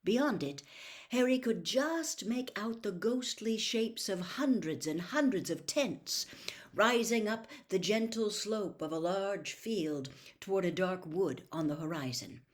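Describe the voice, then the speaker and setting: A woman narrating a novel as a storybook reader, in a measured, careful and expressive storytelling manner. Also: medium pitch. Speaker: audiobook reader.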